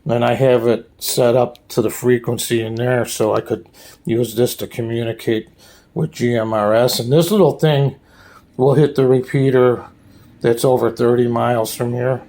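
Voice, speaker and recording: Man, medium pitch, casual vlog host, halting and rambling, plain-spoken, unscripted hobby tour. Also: fairly even intonation, warm tone. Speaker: hobbyist host giving a radio gear tour. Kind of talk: casual off-the-cuff show-and-tell vlog. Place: small room at home.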